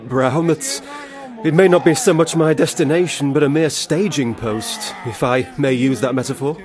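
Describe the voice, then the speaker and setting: A man playing a self-important, hopeful young gentleman artist in a radio drama, florid and a little hesitant. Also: medium pitch, moderate pace, natural voice. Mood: hopeful, grandiose.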